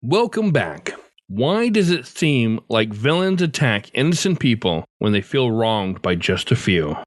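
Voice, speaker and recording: Medium-pitched male podcast host. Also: very animated, unhurried, no echo. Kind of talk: scripted podcast outro.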